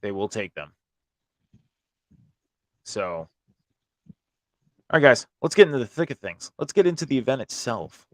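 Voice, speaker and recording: A man with a hoarse voice talks as the host of a casual recap show. His pitch is average and the sound is close and dry, with little room around it. He speaks informally, like someone chatting through an event. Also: very animated, unhurried, pauses often, no room sound. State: hoarse after a night of screaming at a concert. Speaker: host of a wrestling review show.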